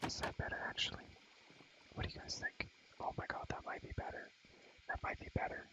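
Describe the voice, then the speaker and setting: A man's voice: brisk, rough recording. Whispering man, casual ASMR ramble. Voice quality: breathy, unvoiced whisper. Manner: rambling, repeats phrases over and over. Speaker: ASMR content creator. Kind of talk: whispered ASMR game showcase, casual chat.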